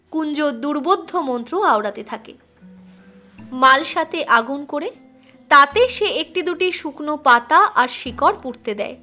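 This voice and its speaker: A woman's voice: sits high, pushed and bright. A woman narrating horror fiction dramatically, as a storyteller reading an audiobook. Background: faint background music.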